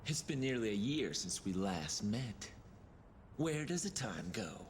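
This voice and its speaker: Cocky young demon hunter, taunting, pitch all over the place, noisy game audio. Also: male voice, sing-song, measured pace. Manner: taunting, casual swagger. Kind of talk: video game cutscene acting. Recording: distant game audio under loud noise.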